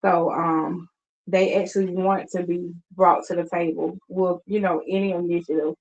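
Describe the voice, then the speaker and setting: A woman's voice: on the low side, little pitch movement, normal speed, darkish tone, dull audio. A woman guest on a radio talk show describing her outreach work, hesitant with many ums, plain and sincere. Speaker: guest, community outreach worker. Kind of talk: interview talk.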